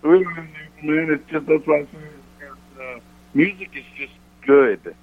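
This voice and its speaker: A man with a deep voice, a country singer chatting casually as a radio guest, rambling thoughtfully with many restarts. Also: moderate pace. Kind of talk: casual radio interview chat. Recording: tinny phone-line sound.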